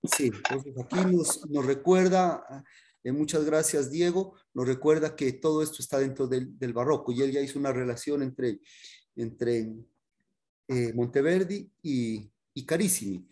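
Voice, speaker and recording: A man teaching a music history class in an informal, halting explanatory way, often checking in with his students. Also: medium pitch, mellow.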